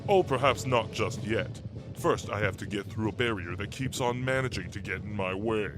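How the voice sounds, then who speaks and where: A man's voice: fairly low pitch, moderate pace, music in the background, lightly acted. A man voicing a pompous, mocking robot villain leader in a radio drama, speaking with grandiose menace. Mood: mocking, menacing. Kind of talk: radio drama cartoon acting.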